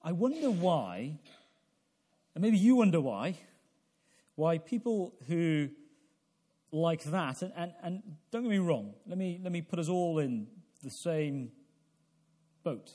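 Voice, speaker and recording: A man in his forties preaching a sermon in a deliberate, halting way, repeating phrases and posing questions to his listeners. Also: a little high, very animated, dark tone. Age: 40s. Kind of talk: evangelical sermon with prayer.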